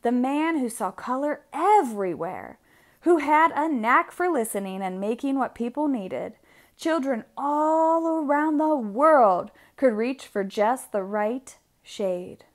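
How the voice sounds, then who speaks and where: A woman reading a picture book aloud for children, expressively, with deliberate, drawn-out emphasis on key words. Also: sits high, expressive. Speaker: read-aloud storyteller.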